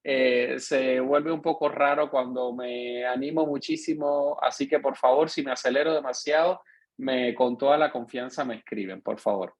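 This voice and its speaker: A man's voice: mid-range voice, moderate pace. A man with a Cuban accent giving an online lecture as a course instructor, explaining at length and often checking in with his listeners.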